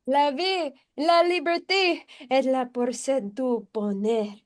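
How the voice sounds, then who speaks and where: A woman's voice: high-pitched, slow pace. A woman acting a manic female character who recites in a declamatory way in a comedy table read.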